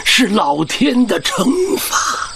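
Emotional old village chief, wildly expressive. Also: male voice, sits high, takes it slowly, gravelly, slight background noise, heavily acted. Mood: distressed. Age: older. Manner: expressive, earnest storytelling. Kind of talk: radio drama acting.

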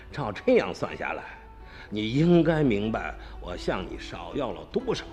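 A man's voice: on the low side, big pitch swings, unhurried, darkish tone, faint music behind. An actor playing a sly, confident male tomb-raider gang boss haggling over a stolen relic in a radio drama. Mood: scheming.

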